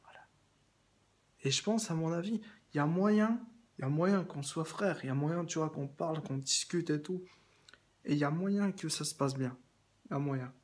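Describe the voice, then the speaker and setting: A man with a husky, slightly breathy voice talks straight to one absent listener, addressing him by name and inviting him over. He rambles, circles back to the same phrases and restarts his sentences often. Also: fairly high pitch, moderate pace, long pauses. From France. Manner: meandering, repeats himself, many restarts and fillers. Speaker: video blogger addressing someone. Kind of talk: rambling direct-address monologue.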